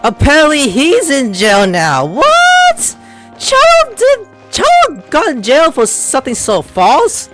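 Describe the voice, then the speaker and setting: A man's voice: extremely high pitch, wildly swooping pitch, slow, pushed and bright, soft background music. A man giving casual, rambling gameplay commentary, mumbling and swearing freely. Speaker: gamer.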